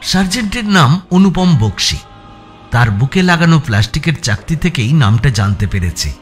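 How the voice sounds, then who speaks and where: Casting: male audiobook narrator, higher-pitched and lively, suspenseful first-person storytelling over soft music. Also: normal speed. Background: background music.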